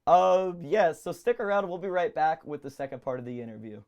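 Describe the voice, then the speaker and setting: Eager man with a lively pitch. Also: a little fast, dull audio, soft background music. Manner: eager, enthusiastic interviewer. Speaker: talk show host. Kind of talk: casual interview chat.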